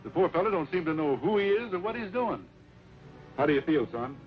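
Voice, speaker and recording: Fast-talking western rancher. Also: male voice, fairly high pitch, sing-song. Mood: concerned. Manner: quick, blunt. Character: plainspoken, protective, indignant western rancher. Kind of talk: old-time western radio drama acting. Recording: dull old radio recording.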